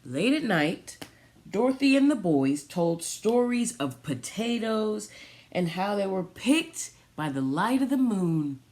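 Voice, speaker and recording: A woman reading a picture book aloud for children, pausing and adding little asides about the pictures. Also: mid-range voice, very animated, darkish tone. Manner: pausing, pointing out pictures to young listeners. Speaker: storytime reader for a children's theatre.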